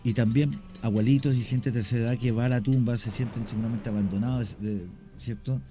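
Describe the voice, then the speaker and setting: A man with a Chilean accent, a guest on a radio talk show, telling stories in a measured way with many hesitations. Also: medium pitch, steady tone, dark tone, soft background music. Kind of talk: radio interview storytelling.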